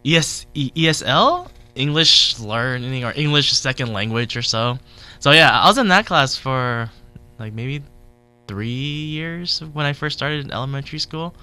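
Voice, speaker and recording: A man's voice: mid-range voice, animated, unhurried, pushed and bright, clear, resonant tone, dry recording. A man in his thirties, born in Vietnam and raised in Southern California, chatting as an interview guest in a rambling, easygoing way with lots of fillers. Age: 30s. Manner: rambling, chatty, laughs easily, many fillers. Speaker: interview guest, technology consultant. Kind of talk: casual interview.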